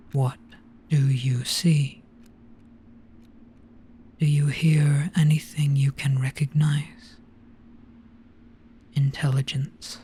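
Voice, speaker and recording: A performer voicing an ancient, gentle, weary monster in a hypnotic roleplay, speaking very slowly in a grave, breathy hush. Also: medium pitch, slight background noise. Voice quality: breathy, whispery. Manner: very slow, hushed, grave.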